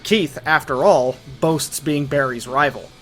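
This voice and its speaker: A man's voice: medium pitch, unhurried, a bit bright. A man giving scripted, emphatic storytelling narration as the narrator of an analytical video essay. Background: background music.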